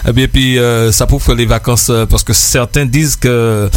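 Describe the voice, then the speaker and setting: A man's voice: medium pitch, fairly even intonation, normal speed, clean tone. A man hosting a live radio chat, stammering and laughing often, with many fillers. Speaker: radio host. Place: radio studio.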